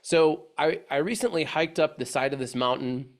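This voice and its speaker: A man's voice: fairly high pitch, moderate pace. Congested man giving a chatty webinar. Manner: friendly, chatty, many restarts and fillers. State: congested from allergies. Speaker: webinar presenter, agency head. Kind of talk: casual, rambling webinar presentation.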